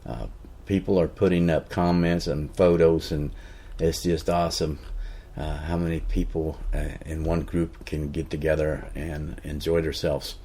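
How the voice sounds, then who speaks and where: A man's voice: very deep voice, flat delivery, measured pace, few pauses, mellow, slightly rough. A man hosting a casual podcast, rambling a little with fillers and restarts. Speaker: podcast host.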